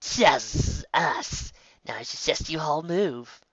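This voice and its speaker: A man's voice: fairly high pitch, expressive, slow pace, a bit bright, husky, no echo, muffled recording, pitched above the performer's own voice, heavily acted. A man voicing a sinister pegasus stallion henchman in a story, casual, taunting and falsely innocent. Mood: smug menace.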